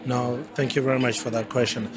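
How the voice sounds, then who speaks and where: A man in his forties speaks with a Southern African accent at a middling pitch, choosing his words in a measured, formal way as he answers interview questions. A busy festival crowd chatters behind him. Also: level tone. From Zambia. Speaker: interview guest, climate change adaptation advisor.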